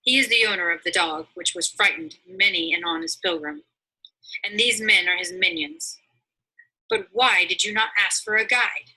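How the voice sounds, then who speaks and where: A woman's voice: mid-range voice, moderate pace, bright, forceful tone, husky, full character voice, rough recording. A woman voicing a stern, helpful male gatekeeper and rescuer in a storybook, explaining and questioning. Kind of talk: storybook character voice.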